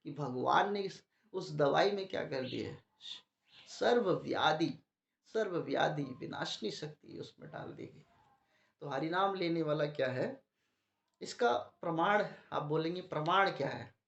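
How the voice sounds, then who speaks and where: A man's voice: a little high, unhurried, gravelly, fairly bright tone. A man with a sore throat giving a devotional talk to a gathering, asking the audience questions and answering them himself. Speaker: preacher. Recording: muffled, echoey room.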